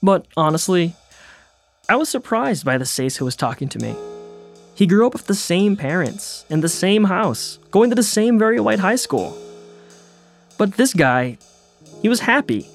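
A man's voice: high-pitched, very animated, normal speed, long pauses, soft background music. A man narrating his own documentary story in a wry, self-deprecating way. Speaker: host and narrator.

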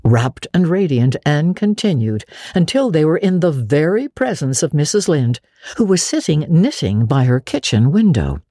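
A woman's voice: deep voice, very animated, normal speed, mellow, no room sound, clean studio sound. A woman narrating classic fiction as a dramatic audiobook reading.

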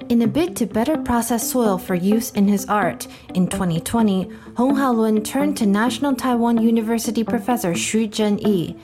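A woman narrating a news feature in a measured, scripted reading voice. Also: fairly high pitch. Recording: narration over loud music.

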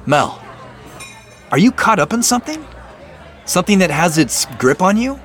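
A man's voice: sits high, sing-song, normal speed, a bit bright, faint music behind, some chatter behind. An actor playing an earnest, probing male boss in naturalistic audio drama, speaking in an insistent, questioning, conversational way. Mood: concerned, pleading.

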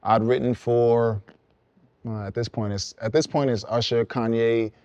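A young man from Brooklyn, a songwriter guest, explaining his path in relaxed, reflective casual conversation. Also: mid-range voice, a little slow, dry recording, lo-fi sound. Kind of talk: casual podcast chat.

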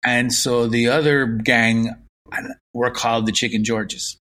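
A man in his fifties with a Limerick Irish accent, recalling his past as an interview guest in an unhurried, reflective way. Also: medium pitch, steady tone, a bit bright. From Ireland. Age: 50s. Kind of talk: casual interview.

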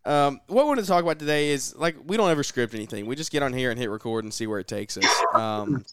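A young man hosts a relaxed podcast, thinking out loud through plenty of ums and likes. His voice is smooth and resonant. It sits close and dry on the mic, with no room sound. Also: mid-range voice, quick pace, dry recording. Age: 20s. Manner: rambling, filler-heavy, folksy. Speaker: podcast co-host. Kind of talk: casual podcast chat.

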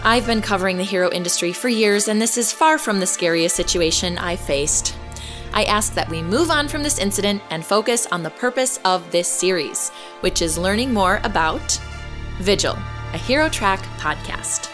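An actress plays a composed woman journalist hosting an audio fiction podcast. She delivers a scripted announcement in an earnest, measured way at a middling pitch, with soft music behind her. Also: expressive, close to an everyday voice. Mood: serious, resolute. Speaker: podcast host.

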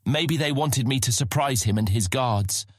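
An actor playing an earnest, guarded young knight on a mission in a dramatized fantasy audiobook. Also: male voice, medium pitch, moderate pace, no echo, clean studio sound, natural voice.

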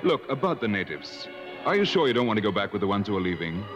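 An actor playing an earnest safari companion who also narrates the tale, speaking plainly in a 1950s radio drama. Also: male voice, on the low side, sing-song, fast talker. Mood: concerned. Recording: muffled 1950s radio broadcast, music behind.

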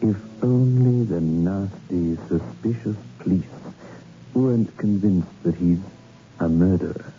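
An actor playing a macabre, slyly welcoming horror host, sinister and drily mocking, in 1960s radio style. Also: male voice, sits low, measured pace, soft background music. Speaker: horror show host and narrator. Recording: tinny old radio recording.

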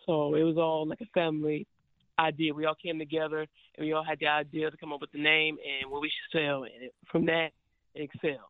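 A man's voice: on the high side, flat delivery, fairly quick, no echo. A twenty-year-old man calling in, answering politely about his family business. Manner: polite, plain. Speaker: guest, family business owner. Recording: tinny phone line.

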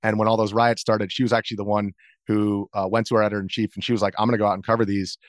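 Fast-talking American man telling his story. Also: on the low side, level tone, no room sound, studio quality. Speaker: interview guest, video journalist. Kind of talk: casual interview storytelling.

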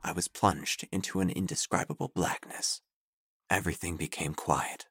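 An actor playing a melodramatic, self-important obituary writer who narrates an audio drama with theatrical pauses. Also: male voice, sits low, steady tone, fairly bright tone, breathy edge, no echo, clean studio sound, full character voice. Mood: ominous, awed. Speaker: narrator and protagonist. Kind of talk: first-person audio drama narration, gothic parody.

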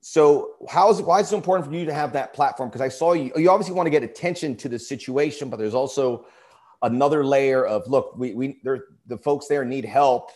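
A man's voice: medium pitch, brisk, darkish tone. A man hosting an interview, asking long and rambling questions full of restarts. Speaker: interview host. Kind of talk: casual interview talk.

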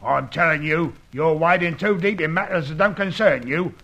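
An actor playing a menacing, boastful gang boss in an old radio serial, with blustering, ungrammatical slang. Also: male voice, fairly high pitch, normal speed, background noise, close to an everyday voice. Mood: threatening.

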